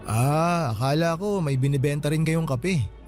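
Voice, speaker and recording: An actor playing a friendly Filipino night guard who talks respectfully and deferentially in a radio drama. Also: male voice, a little high, a little slow, a little mellow, soft background music, close to an everyday voice. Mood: friendly, curious. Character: polite, friendly male night guard named Melo.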